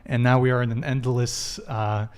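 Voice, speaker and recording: A man's voice: mid-range voice, fairly even intonation, normal speed, clear, resonant tone. Joking young man hosting a live show. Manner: loose, joking, stumbles over tech mishaps. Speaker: podcast host. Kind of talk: live stream announcements, casual.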